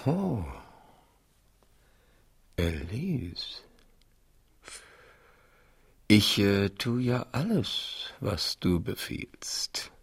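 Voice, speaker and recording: A lovestruck libertine marquis in a period radio play. He drawls slowly and huskily, with suave irony and polished evasion. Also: on the low side, big pitch swings, heavily acted. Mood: yielding. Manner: ironic, smoothly evasive. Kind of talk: German radio drama acting.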